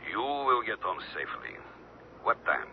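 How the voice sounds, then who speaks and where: An actor playing a cold, ruthless major of a dictatorship in a radio drama, speaking in a clipped, guarded way. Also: male voice, fairly low pitch, measured pace, vocal fry, slightly rough, full character voice. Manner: clipped, guarded, menacingly calm. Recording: noisy, thin phone-like old radio recording.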